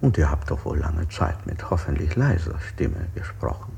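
An actor playing a mock-courteous chief interrogator in a radio play, putting pointedly polite, leading questions. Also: male voice, a bass voice, sing-song, normal speed, gravelly, dark tone, heavily acted. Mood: insinuating. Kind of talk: avant-garde radio play acting.

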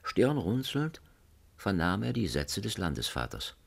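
A man's voice: sits low, very animated, unhurried, creaky. A man narrating a radio drama in a dramatic storytelling style.

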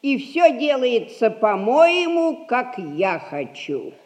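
Imperious old matriarch, high-pitched, self-important, stage drama in a reverberant hall. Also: female voice, big pitch swings, a little mellow. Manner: slow, commanding, self-important speech. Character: imperious old merchant matriarch, haughty grandmother. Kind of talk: theatrical Russian stage acting, 1960s audio play. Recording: reverberant hall, 1960s theatre recording.

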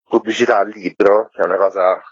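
A man's voice: on the low side, steady tone, a little fast, husky, dry recording. A man being interviewed on the radio, explaining things in a colloquial, wry, self-deprecating way. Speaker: interview guest, book series curator. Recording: muffled, lo-fi remote radio link.

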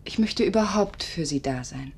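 Actress as devoted young maid, old noisy recording. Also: female voice, fairly low pitch, animated, normal speed, a little mellow, lightly acted. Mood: pleading, devoted. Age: young adult. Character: devoted, deferential 25-year-old female servant-nurse. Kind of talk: 1950s German radio drama acting. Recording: faintly noisy 1950s radio recording.

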